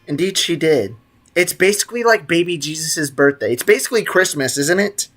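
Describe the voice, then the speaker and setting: A man's voice: fairly high pitch, animated, brisk, a bit bright. A man live streaming a video game, chatting casually and offhandedly with viewers and joking in slang. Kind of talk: casual live stream chat and gameplay commentary, reading out chat. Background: game music.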